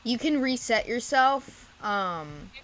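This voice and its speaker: A woman streaming a game, casually running a group event and laying down the rules in a big, loud voice. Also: on the high side, expressive, takes it slowly. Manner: loud, bossy rule-giving. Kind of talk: casual gaming chat. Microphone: desk mic on a laptop. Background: laptop fan noise.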